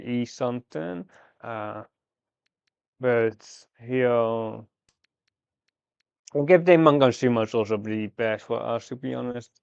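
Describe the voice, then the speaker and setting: A man live-streaming a game, thinking aloud through his moves in a halting, fragmented way. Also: medium pitch, clean tone, dry recording, dull audio. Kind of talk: live mahjong gameplay commentary, thinking aloud.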